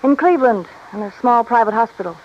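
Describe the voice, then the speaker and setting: A woman's voice: a little high, lively intonation, fast, a little mellow, close to an everyday voice. A woman playing a discontented wife, muffled radio drama. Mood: matter-of-fact. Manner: confessional, hesitant, plaintive. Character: discontented, self-pitying, mercenary wife, a former beauty. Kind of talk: 1950s-60s radio drama acting. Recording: dull, faintly noisy old radio recording.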